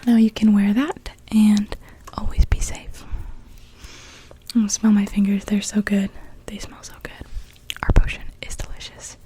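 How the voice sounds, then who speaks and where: A young woman playing a gentle, welcoming witch in a soft, soothing whispered ASMR roleplay. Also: medium pitch, little pitch movement, measured pace, natural voice. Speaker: ASMR performer.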